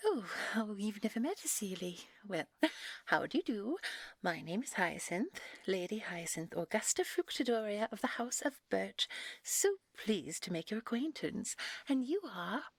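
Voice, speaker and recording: Fairy aristocrat, played by a woman: prim, vain, naive, lilting and breathy, close dry audio roleplay sound. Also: mid-range voice, very animated, normal speed, no echo. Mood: polite, flustered. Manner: prim, fluttery, hesitant politeness.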